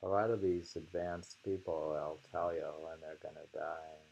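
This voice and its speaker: A man hosting a casual haiku discussion and reading poems aloud, in a halting, musing way that often trails off. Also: very deep voice, flat delivery, creaky, a little mellow, dull audio, a little hiss or hum.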